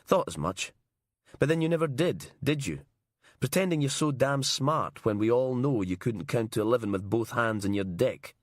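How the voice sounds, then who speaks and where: A man's voice: mid-range voice, animated, moderate pace, no room sound, studio quality, natural voice. A man reading a tense, stubborn male detective sergeant who presses hard. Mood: pressing. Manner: urgent, pressing.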